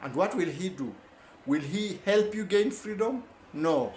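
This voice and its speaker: A man's voice: high voice, expressive, a little slow, a little mellow, echoey room, a little hiss or hum. A man giving a rambling, self-correcting informal lecture.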